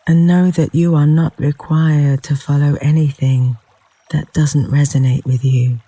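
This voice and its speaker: A man leading a guided yoga nidra meditation in a gentle, soothing, unhurried manner with long pauses between phrases. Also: on the high side, flat delivery, dry recording. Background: flowing river water sounds.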